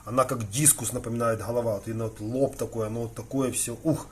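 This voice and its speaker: A man's voice: medium pitch, steady tone, fast, darkish tone. Casual man rambling over noticeable background noise. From Ukraine. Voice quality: frequent throat-clearing between phrases. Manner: colloquial, slangy, thinking aloud. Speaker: hobby aquarium vlogger. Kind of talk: casual rambling vlog chat. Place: indoors in a room with aquariums.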